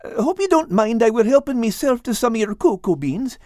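A narrator voicing a small, excitable male cat from a children's storybook, nervous and stammering, with folksy turns of phrase. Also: medium pitch, brisk, no echo, clean studio sound, pitched above the performer's own voice, lightly acted. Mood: flustered, friendly. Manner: stammering, exclamatory, folksy dialect wording.

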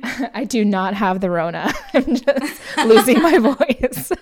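A woman's voice: on the high side, animated, slow. An American woman co-hosting a podcast, rambling through a movie recap with lots of fillers while losing her voice. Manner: rambling, filler-heavy, laughs often. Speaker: podcast co-host. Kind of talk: casual movie review chat.

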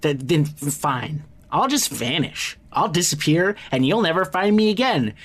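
An actor in an audio drama playing a defiant, cornered young man from a criminal family, blunt and halting. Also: a little high, animated.

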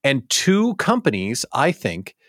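Animated man hosting a livestream show. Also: medium pitch, slow, no echo, studio quality. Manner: theatrical announcer-like emphasis mixed with casual chatter; chatty, addresses listeners directly with rhetorical questions. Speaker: host of a daily live small business show.

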